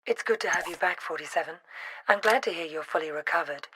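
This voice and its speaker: Woman acting a composed female spy handler, lowish voice, formal mission briefing, video game, music behind. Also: close to an everyday voice, low-quality recording. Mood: composed, businesslike. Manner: formal, measured delivery.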